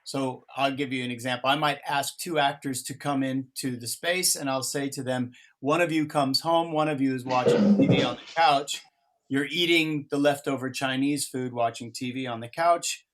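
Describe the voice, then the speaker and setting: A man's voice: mid-range voice, steady tone, normal speed. Man casually leading a workshop. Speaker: workshop facilitator. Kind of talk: casual explaining to a group.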